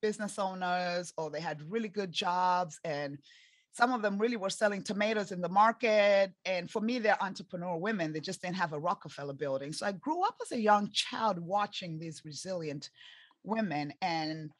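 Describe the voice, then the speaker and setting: Woman, 40s, East African accent, lowish voice, earnest and emphatic, dry close recording. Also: moderate pace, dry recording. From Tanzania and Zambia. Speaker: podcast guest, life coach. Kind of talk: interview storytelling.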